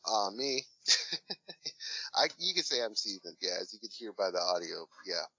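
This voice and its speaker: A low-pitched man chats casually as a guest, rambling along with plenty of ums and uhs. His voice sounds forceful and bright, recorded dry and close. The sound is a little dull and lo-fi. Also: very animated, unhurried, husky, no room sound. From Washington State, USA. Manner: rambling, hesitant with many fillers. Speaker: guest, hip hop artist. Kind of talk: casual interview talk.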